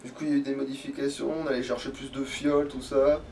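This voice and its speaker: A man's voice: medium pitch, little pitch movement, fast talker, darkish tone. Sweary man gaming, distant from the mic. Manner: sweary, grumbling. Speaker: let's play streamer playing the game. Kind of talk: casual gaming commentary. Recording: distant mic with background noise.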